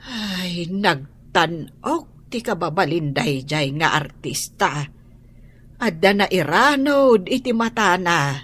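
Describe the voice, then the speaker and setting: An actress playing an anxious, hopeful middle-aged mother with an Ilocano accent and a husky voice, sighing and exclaiming in a radio drama. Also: fairly low pitch, expressive, takes it slowly, a bit bright, exaggerated voice. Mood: wistful, anxious. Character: anxious, hopeful mother worried for her ailing daughter.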